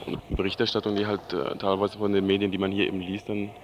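A man giving halting, casual answers in a street interview, searching for examples as he talks. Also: fairly low pitch, completely flat delivery. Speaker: passer-by being interviewed. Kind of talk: casual spontaneous street chat. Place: outdoors on a busy street. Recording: clear street noise behind.

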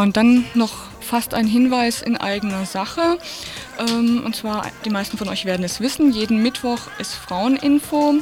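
A woman presenting a radio programme and reading out announcements in an informal way. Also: a little high. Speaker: radio host.

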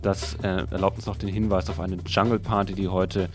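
A man's voice: low voice, flat delivery, brisk. A man presenting local radio, announcing events and music in a casual, hesitant, improvised way. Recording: music playing under the voice.

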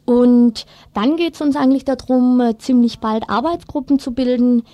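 A woman's voice: a little high, steady tone, normal speed, warm tone, dry recording. A woman giving a spontaneous, earnest explanation as an interviewee, often correcting herself as she goes. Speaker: women's project organiser being interviewed.

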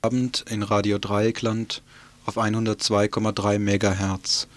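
Low-voiced man presenting radio news. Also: flat delivery, measured pace, noisy background. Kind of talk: scripted news narration.

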